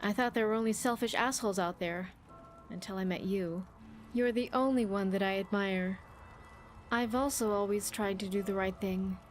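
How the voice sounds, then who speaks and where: A fairly high-pitched young female vault survivor , played by a woman, confides in scripted game dialogue. She is earnest and wistful, with careful, formal wording. Rainy ambient noise sits faintly behind her voice. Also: close to an everyday voice. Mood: earnest, admiring. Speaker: game companion character.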